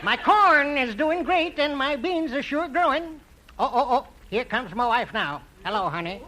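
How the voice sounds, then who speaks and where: An actor playing a folksy, cheerfully sly country farmer in 1950s radio comedy. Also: male voice, very high-pitched, expressive, moderate pace, pushed and bright, exaggerated voice. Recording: noisy old radio broadcast recording.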